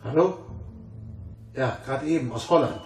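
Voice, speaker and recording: A man plays a wartime military man on the telephone in a radio play. He is clipped and informal, with a middling pitch, in a roomy echo over faint music. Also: measured pace, close to an everyday voice. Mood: brisk, inquiring. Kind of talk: audio drama dialogue.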